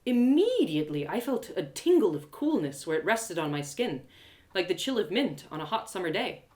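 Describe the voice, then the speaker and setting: A woman performing a first-person adventure story as a live reading, dramatic and expressive. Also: lowish voice, moderate pace.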